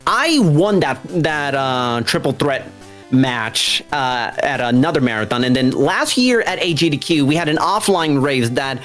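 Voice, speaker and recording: A speedrun racer casually commentating on their own gameplay, talking through each move in an animated way. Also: medium pitch, unhurried, faint music behind. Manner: animated, self-narrating play.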